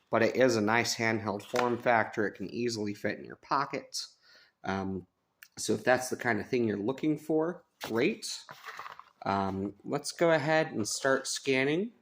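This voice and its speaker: A man's voice: medium pitch, a bit bright, slight background noise. A man giving a casual, chatty hands-on product review, rambling and self-deprecating as he goes. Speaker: radio reviewer. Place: sunroom at a house.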